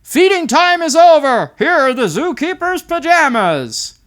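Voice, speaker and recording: A man voicing a playful but firm dad in a children's storybook, loud and commanding as he orders bedtime. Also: very high voice, big pitch swings, measured pace, voice pushed up, full character voice. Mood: playful, booming.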